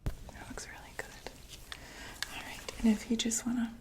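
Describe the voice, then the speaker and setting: A woman whispering softly in a breathy ASMR medical roleplay, gently and tentatively talking through each step as a doctor. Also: on the high side, level tone, moderate pace. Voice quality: breathy, mostly unvoiced whisper.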